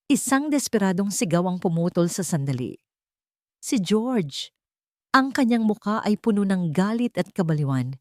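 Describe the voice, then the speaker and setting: A woman with a Filipino accent reads a scripted romance story in an emotive, deliberate, dramatic way. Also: mid-range voice, darkish tone, no echo, studio quality. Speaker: story narrator.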